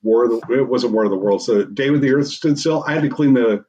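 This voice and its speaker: A man's voice: mid-range voice, fast talker, mellow, slight background noise. Distant, muffled man telling casual anecdotes. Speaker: voice actor.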